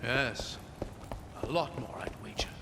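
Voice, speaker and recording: Video game scholar, male actor, middle-aged, mid-pitched voice, learned and curious, thoughtful articulate delivery. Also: measured pace, noisy background, natural voice. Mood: grave, explaining. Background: game soundtrack music.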